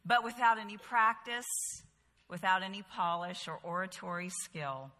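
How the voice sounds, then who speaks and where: A woman's voice: mid-range voice, fairly even intonation, moderate pace, pushed and bright. A woman in her fifties with a light Southern accent, teaching a women's Bible study in a chatty, conversational way with humorous asides. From Bahamas, later North Carolina. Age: 50s. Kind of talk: casual talk to a group, then spoken prayer and storytelling. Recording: echoey hall.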